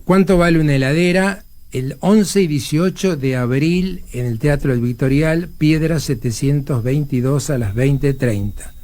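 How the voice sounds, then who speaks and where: A man's voice: a little high, a little slow, few pauses, darkish tone. An older man with an Argentine accent from Buenos Aires, hosting a casual radio interview in a rambling way, breaking off and repeating himself. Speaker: radio host.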